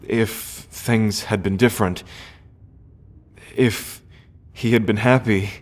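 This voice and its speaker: A man playing an earnest, loyal prince in a fantasy audio roleplay, speaking in a formal, measured and hesitant way. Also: fairly low pitch, fairly even intonation. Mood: somber, grieving. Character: earnest, grieving prince, loyal sibling of the dragon heir.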